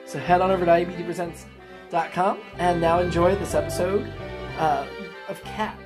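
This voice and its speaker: A woman's voice: on the low side, steady tone, moderate pace. A woman hosting a podcast, reading out an introductory announcement. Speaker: podcast host. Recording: music bed behind the voice. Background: music.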